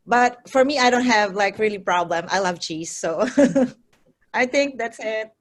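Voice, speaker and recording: A woman's voice: mid-range voice, a little slow. A Filipino woman working as a nurse in the United States, chatting in a panel interview with a Filipino accent and laughing often. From Philippines.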